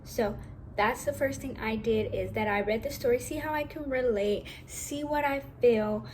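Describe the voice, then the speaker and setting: A young woman with a naturally high voice, talking casually and rambling a little as she shares acting tips from home. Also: normal speed, slight background noise. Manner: chatty, rambling, self-correcting, casual. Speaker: vlogger.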